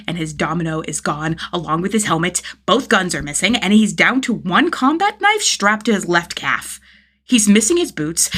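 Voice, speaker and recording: A woman's voice: medium pitch, fairly bright tone, slightly rough. A woman narrating fan fiction as a scripted audiobook-style reading, with a dramatic, emphatic delivery. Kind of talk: expressive scripted story narration.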